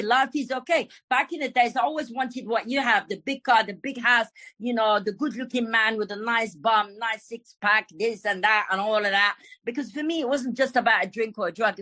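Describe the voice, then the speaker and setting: A woman's voice: mid-range voice, sharp tone, no echo. Frank, emphatic woman from Morocco. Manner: frank, emphatic, swears freely. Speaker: recovery meeting speaker. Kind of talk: personal recovery share.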